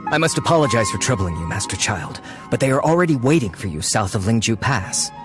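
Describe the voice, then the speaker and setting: A man's voice: mid-range voice, very animated, a little fast, natural voice. A man playing a deferential, dutiful soldier in a video game, speaking formally and respectfully to his superior. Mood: earnest, insistent. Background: prominent background music.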